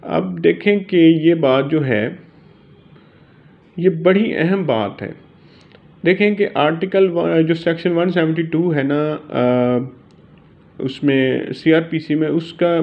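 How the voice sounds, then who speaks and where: Male teacher, Pakistani accent, slightly high pitch, very slow halting delivery, reading and explaining, muffled lo-fi sound. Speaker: law teacher. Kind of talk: informal lesson, reading out and explaining.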